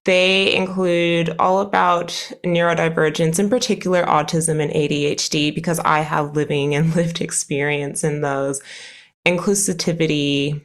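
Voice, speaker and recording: An American woman with a fairly low voice talks as a podcast guest. She speaks casually and enthusiastically, laughing easily as she goes. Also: steady tone, a little slow. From United States. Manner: enthusiastic, lists many topics, laughs easily. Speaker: guest speech therapist. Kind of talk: casual interview talk.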